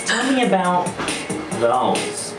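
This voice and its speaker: A man's voice: high voice, big pitch swings, slow, pitched above the performer's own voice, exaggerated voice. A man voicing an older male psychiatrist, clinical, probing and stiffly formal, like someone reading a form letter in a spoken-word story. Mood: probing, challenging. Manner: formal, scripted-sounding, form-letter delivery. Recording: roomy live venue, distant mic, music. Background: music.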